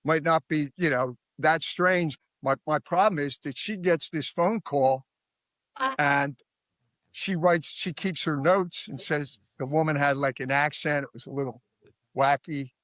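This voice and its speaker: A man's voice: on the high side, steady tone, unhurried, a bit bright, dry recording. A man with a Philadelphia accent, retelling a crime case in a rambling, emphatic way on a podcast. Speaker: podcast guest. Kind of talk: casual true-crime discussion.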